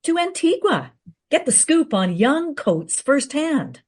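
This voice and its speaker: Female actor as insistent know-it-all, radio play. High, very animated voice, short eager lines. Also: slow, exaggerated voice. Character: pushy, eager, shrill know-it-all woman.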